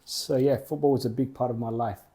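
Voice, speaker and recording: A young man, a football program co-founder, answering interview questions plainly in short, halting clauses. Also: mid-range voice, steady tone, warm tone. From Australia.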